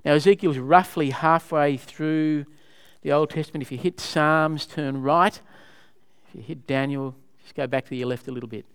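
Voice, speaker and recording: A man teaching scripture to a small group, his voice smooth and clear and sitting a little high. He talks conversationally, stopping often to put questions to the room and explain what a passage means. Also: fairly even intonation, normal speed. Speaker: Bible study leader. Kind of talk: interactive Bible teaching.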